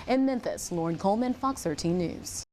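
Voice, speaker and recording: A woman's voice: medium pitch, animated, normal speed, dark tone, faint music behind. A woman reporting scripted TV news, with faint noise behind her.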